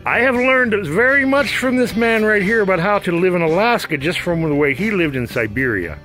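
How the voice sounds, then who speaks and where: A middle-aged man with a bright, somewhat high voice talks in an upbeat, chatty way while cooking outdoors. Recorded on a handheld camera with faint music underneath. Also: expressive, a little fast, rough recording. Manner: upbeat, chatty, laughs easily. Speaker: homestead vlog host. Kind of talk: casual chat while cooking. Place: outdoor homestead kitchen under a tarp.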